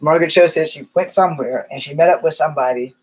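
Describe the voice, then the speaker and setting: A man's voice: a little high, fast, breathy edge, rough recording. A man rambling through candid stories in a casual monologue, swearing now and then. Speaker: vlogger.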